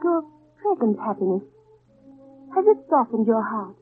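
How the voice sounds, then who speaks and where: An elegant baroness speaks in a high, emotional voice, formal yet agitated and wistful. It is vintage radio drama, muffled, with faint music behind. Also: female voice, very animated, unhurried. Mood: wistful, probing. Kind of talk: 1930s radio drama acting.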